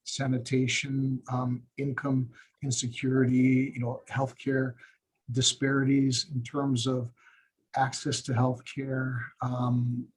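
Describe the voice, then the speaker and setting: Husky, flat-voiced man, halting speech. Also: medium pitch, low-quality recording. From Hawaii. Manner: halting, many fillers and restarts. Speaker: radiation oncologist. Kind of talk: webinar discussion.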